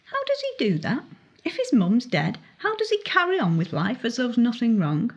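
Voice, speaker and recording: A woman's voice: on the high side, wildly swooping pitch, normal speed, breathy edge, highs cut off, voice pushed up, full character voice. A woman voicing a grieving, anxious teenage girl in a story, hesitant and plaintive, stumbling over her words. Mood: bewildered, upset.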